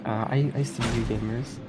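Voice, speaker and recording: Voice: mid-range voice, very noisy. A speedrunner giving casual, giggly, easygoing live commentary while playing a video game.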